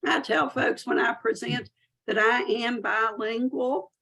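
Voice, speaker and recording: Woman, Southern accent, gravelly fry, folksy and talkative, webinar presenter. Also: on the high side, steady tone, unhurried, pushed and bright. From Southern United States. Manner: chatty, folksy, self-deprecating. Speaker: SCORE chapter chair and presenter.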